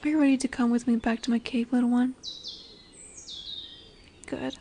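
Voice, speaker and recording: Woman as a high, soft, commanding lamia. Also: flat delivery, normal speed, slight background noise, natural voice. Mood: gently commanding. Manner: soft, commanding, soothing, often dropping into whispers. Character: dominant, tender female lamia, snake-bodied forest monster. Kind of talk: scripted ASMR roleplay audio drama.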